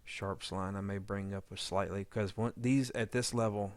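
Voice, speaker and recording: A man's voice: lowish voice, fairly even intonation, normal speed, dry recording. A man giving a casual editing tutorial, informally thinking aloud as he works. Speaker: audio drama editor demonstrating editing.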